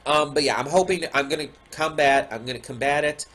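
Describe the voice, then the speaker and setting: A man's voice: on the high side, quick pace, fairly bright tone. A man with a stuffy nose and a slightly sore throat, talking casually at home as a reaction video host, rambling with lots of ums. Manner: rambling, many ums and restarts. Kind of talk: casual chat to camera. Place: home room with a window.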